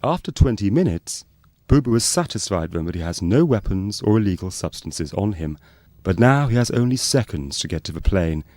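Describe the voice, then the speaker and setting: A man's voice: on the low side, animated, normal speed, darkish tone, no echo. A man delivering scripted docu-soap narration.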